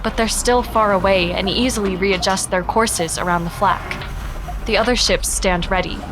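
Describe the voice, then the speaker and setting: A woman giving steady, descriptive narration for a sci-fi audio drama. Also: mid-range voice, normal speed, noisy background. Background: music.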